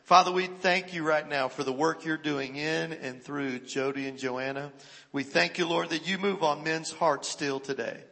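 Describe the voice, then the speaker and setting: A man's voice: fairly high pitch, steady tone, normal speed, bright, forceful tone. A man leading a church service as pastor, speaking enthusiastically with exclamations before he leads a prayer. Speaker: pastor, host. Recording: reverberant hall with faint crowd.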